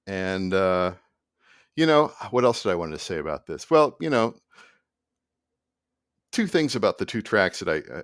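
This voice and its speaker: A man in his fifties talking casually and haltingly to camera at a desk, explaining things in an informal, digressive way. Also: deep voice, dry recording, studio quality. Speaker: musician and vlogger. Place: small indoor room at a desk.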